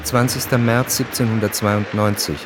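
A man narrating a scripted radio drama in a measured, matter-of-fact way. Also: lowish voice, steady tone, over music, poor audio.

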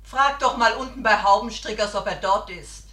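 A woman's voice: mid-range voice, moderate pace, a bit bright, natural voice. An actress playing an anxious middle-aged mother in a radio play, calling out urgently for a missing boy. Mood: worried calling. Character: anxious middle-aged mother searching for her foster son. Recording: echoey room.

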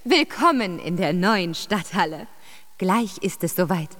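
An actress playing an effusive, grandiose presenter of a children's contest, announcing with showy emphasis in a radio drama. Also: female voice, fairly low pitch, animated, a little slow. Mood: showy, excited. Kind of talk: radio drama acting as stage host. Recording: reverberant hall, loud crowd and noise.

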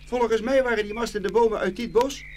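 A man's voice: very high-pitched, fast. A man voicing a knowing, well-travelled bird in a children's fairy tale play. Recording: faint background noise.

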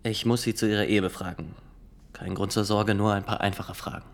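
Low-voiced, zealous young inspector. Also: male voice, steady tone, gravelly. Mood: formal, probing. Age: young adult. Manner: formal, halting, insistent questioning. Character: zealous young state inspector, nineteen, formal and probing. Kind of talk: German radio drama acting.

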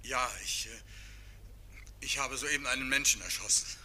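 Halting, shaken confessed killer. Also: male voice, medium pitch, fairly even intonation, bright, forceful tone, a little hiss or hum. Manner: halting, hesitant, curt. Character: terse, shaken man confessing to a killing. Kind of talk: German radio crime drama acting.

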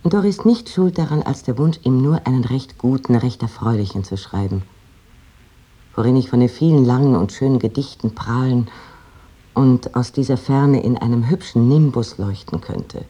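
A man's voice: medium pitch, moderate pace, mellow, slightly rough, close to an everyday voice. A man playing an affectionate, self-mocking letter writer in a literary radio play, intimate and wry. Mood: fond, wry.

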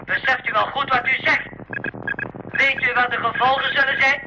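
An actor playing a stern, insistent naval commander in a radio play, formal and emphatic over a radio link. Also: male voice, very high-pitched, steady tone, moderate pace, music in the background. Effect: radio transmission. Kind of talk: 1980s radio play acting. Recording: muffled, noisy radio transmission sound.

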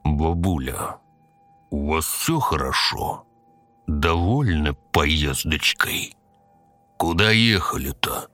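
A man voicing a slow, very deep, sinister conductor. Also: sharp tone, no echo, soft background music, full character voice. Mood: mock concern. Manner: slow, drawling, ironic, falsely soothing. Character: sinister male undead train conductor, mockingly sweet, calmly menacing.

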